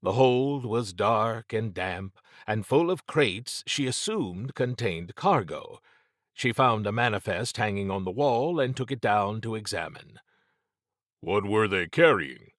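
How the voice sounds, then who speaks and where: A man's voice: fairly low pitch, animated, unhurried, no echo, clean studio sound. A man narrating scripted fiction as a dramatic audiobook reader.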